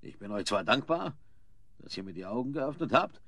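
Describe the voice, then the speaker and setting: An actor playing an indignant, pompous, middle-aged wealthy father in a German audio drama, blustering theatrically and haltingly. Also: medium pitch, big pitch swings, a bit bright, no echo, studio quality. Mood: grudging.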